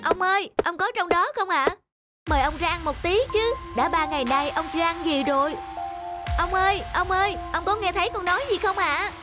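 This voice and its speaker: A young servant in an animated folktale. He is respectful and worried, with a high, anxious delivery. Music plays underneath. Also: a little fast. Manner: deferential, anxiously calling. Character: dutiful, worried young male house servant. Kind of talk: animated folktale cartoon acting.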